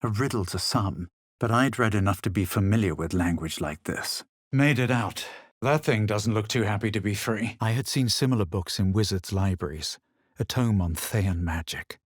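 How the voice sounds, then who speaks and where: A man voicing a haughty, self-assured fantasy adventurer in a video game, measured and superior in manner. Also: fairly low pitch, no echo, studio quality, natural voice. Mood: brooding, composed. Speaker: video game player character. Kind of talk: scripted game voice lines, narration and spell incantations.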